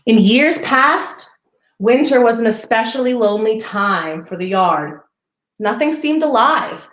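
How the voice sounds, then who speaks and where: A woman reads a picture book to children at an unhurried pace. Her mid-pitched voice rises and falls expressively, and she slips into chatty asides to her young listeners. The sound is roomy and echoing. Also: lively intonation, a bit bright. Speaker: storybook reader for a parks program.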